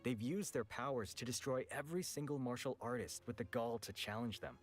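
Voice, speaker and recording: An actor playing a frank, world-weary weapons merchant in a video game, with a casual, blunt manner. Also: male voice, mid-range voice, expressive, a little fast, natural voice. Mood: grave storytelling. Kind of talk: scripted game voice acting. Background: background music.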